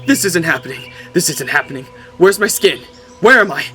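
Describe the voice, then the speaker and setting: A man's voice: on the high side, expressive, a little fast, sharp tone, faint music behind, rough recording. A man voicing a sarcastic, foul-mouthed young man turned lizard creature in a dramatized audiobook, talking to himself. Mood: panicked. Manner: exclamatory, swearing, talking to himself.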